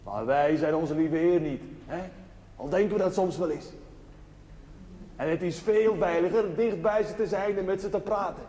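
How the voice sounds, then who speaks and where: An actor playing an earnest, playful, plain-spoken village chaplain, preaching emphatically with pauses in a television drama. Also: male voice, high voice, dark tone, natural voice. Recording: noisy, echoey church-like hall.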